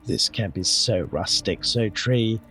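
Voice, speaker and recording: An actor playing a wealthy retired executive as an effusive, eager adventurer who savors florid words, in an audio drama. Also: male voice, lowish voice, slow, sharp tone. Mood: awed, effusive.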